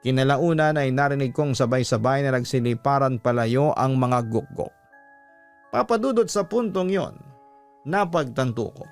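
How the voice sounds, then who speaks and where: A man with a Filipino accent hosting a story program, reading in a scripted narration style. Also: medium pitch. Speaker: host reading a listener's horror letter. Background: music.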